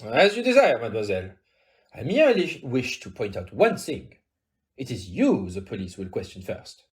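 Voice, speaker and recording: A man's voice: medium pitch, wildly swooping pitch, moderate pace. A courteous Belgian detective, probing and sure of himself, with a French-flavored Belgian accent. His formal, exact phrases leap dramatically up and down in a mid-range voice, sprinkled with French interjections in the theatrical manner of an audio play. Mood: probing, insistent.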